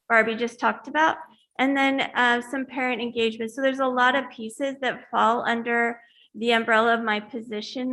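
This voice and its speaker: A woman's voice: on the high side, fairly bright tone. A woman, a teacher on special assignment, presenting to a school board, hesitant with many ums and restarts.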